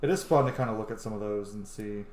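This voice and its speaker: A man's voice: fairly low pitch, quick pace, a little mellow. A man doing casual let's play commentary, informal and sweary, thinking aloud as he plays. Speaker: gaming video host. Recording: echoey room with faint background noise.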